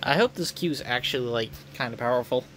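A man's voice: medium pitch, fairly quick, fairly bright tone, slight background noise. A man giving casual video game commentary as he streams. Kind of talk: casual game commentary and reading game dialogue aloud in voices. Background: game music.

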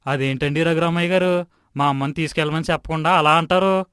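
A man playing a humble, deferential village man in an animated moral story. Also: a little high, fast, smooth, no echo, clean studio sound, close to an everyday voice. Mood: puzzled.

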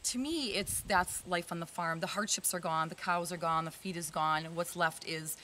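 A woman's voice: on the low side, normal speed, pushed and bright, slight background noise. A woman in her fifties, a barn owner, talking earnestly in a casual interview outdoors, with many fillers.

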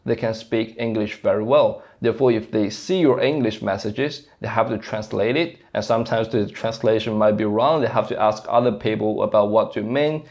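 A man's voice: mid-range voice, darkish tone. A young man from China with a Mandarin Chinese accent, speaking English as a second language, explaining his work to camera in an earnest way with many fillers and restarts. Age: young adult. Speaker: software engineer vlogger. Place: small plain indoor room. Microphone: lapel mic, camera in front.

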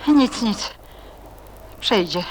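A woman's voice: medium pitch, expressive, very slow. An actress playing a worried, polite older lady in a Polish radio play, self-reproaching and a little hesitant. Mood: weary. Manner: fretful, courteous, hesitant. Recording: faintly hissy radio play with ambience.

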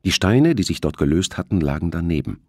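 Low-voiced man narrating, lively intonation. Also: a little fast, no echo, studio quality. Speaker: audiobook narrator. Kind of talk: scripted thriller narration.

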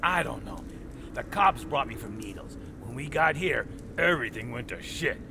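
An edgy, evasive guy trapped in a nightmare town and desperate to get out. His talk is slangy and pushy, swinging widely in pitch with a fried, gritty edge. There is noticeable vehicle ambience behind him in this game recording. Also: animated, normal speed, gravelly, noisy background. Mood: agitated, pushy. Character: edgy, evasive man stranded in a car, desperate to flee. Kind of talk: video game voice acting.

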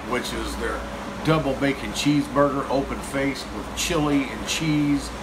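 A man's voice: medium pitch, background noise. Folksy man in a diner. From Kentucky, US. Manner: chatty, folksy, talks while eating. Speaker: food reviewer. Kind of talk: casual food review vlog. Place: small diner, indoors. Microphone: phone camera across the table.

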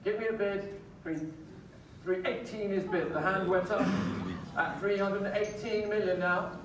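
A man auctioneer calling bids live in a sale room, brisk and repetitive, with light jokes between bids. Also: high-pitched, fairly even intonation, a little hiss or hum. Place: large auction sale room, heard from the audience. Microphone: far phone camera in the crowd. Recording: distant echoey sale room from a phone in the crowd.

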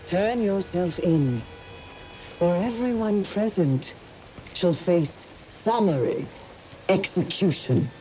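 A woman playing a cold, menacing inquisitor in a video game, commanding, formal and deliberate. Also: lowish voice, expressive, mellow, faint music behind. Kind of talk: video game cutscene acting. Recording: heavy rain and thunder behind.

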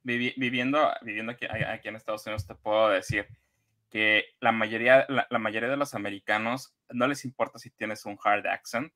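A man's voice: fairly low pitch, steady tone, a little fast, bright, forceful tone. A middle-aged man co-hosting a gossip chat over a video call from home, who stops and restarts often while searching for words. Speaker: co-host. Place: home room, indoors.

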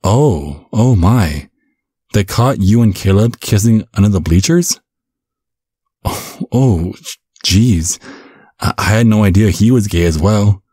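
A man playing a caring, bumbling vampire father in an ASMR roleplay, speaking gently with a stammering, reassuring manner. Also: on the low side, lively intonation, slow, pauses often, breathy edge, no room sound, studio quality, heavily acted. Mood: surprised, concerned. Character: caring, bumbling vampire father, looks twenty-five, over a century old.

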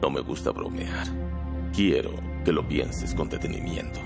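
Un actor de doblaje que interpreta a un empresario poderoso de mediana edad, calculador y coercitivo, que habla con cortesía medida y presiona. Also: male voice, extremely low pitch, unhurried, gravelly, music in the background, exaggerated voice. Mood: calculating, firm. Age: middle-aged. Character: middle-aged powerful businessman, smooth-talking, calculating and coercive. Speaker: dubbing actor in a TV drama. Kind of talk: dubbed television drama dialogue.

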